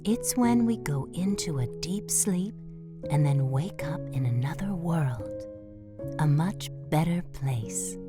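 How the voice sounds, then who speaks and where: A gentle, wistful mother mouse, acted by a woman, speaks in a low voice with patient reassurance. It is audio drama dialogue, with guitar music playing clearly behind. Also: expressive, takes it slowly. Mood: dreamy, reassuring.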